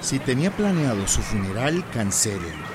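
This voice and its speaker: An actor playing an earnest, insistent young rescue worker in a radio drama, pleading and urgent. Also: male voice, mid-range voice, very animated, normal speed, lightly acted. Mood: firm, frank. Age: young adult. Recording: noisy outdoor ambience.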